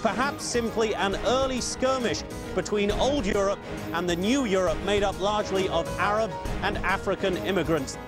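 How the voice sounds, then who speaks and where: A high-voiced male correspondent delivers a dramatic, measured piece of scripted narration. Loud music runs behind him. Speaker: news correspondent.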